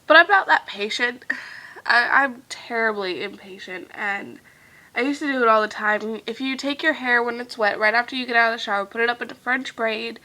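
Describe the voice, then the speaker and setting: A young woman with a higher, bright and clear voice talks to her viewers in a loose, rambling vlog chat. Her nose is badly stuffed, giving her words a congested sound. She fills pauses with lots of "um"s and often lets her sentences trail off softly. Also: rough recording. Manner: rambling and chatty with many fillers, trailing off softly. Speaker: vlogger.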